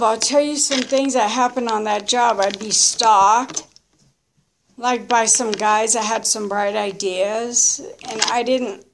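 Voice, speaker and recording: Slow-paced woman in her early fifties. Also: fairly high pitch, a little hiss or hum. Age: early 50s. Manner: halting, reflective, speaks in short confessional phrases. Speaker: recovering alcoholic sharing her story. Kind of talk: candid personal testimony, unscripted monologue.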